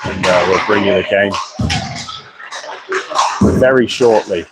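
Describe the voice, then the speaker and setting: A British man with a croaky voice from a cold, chatting and then commentating live on an amateur ice hockey game from a small media box. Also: deep voice, slow pace, over loud music, poor audio. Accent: British English. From Britain. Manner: chatty and apologetic, excitable on goals.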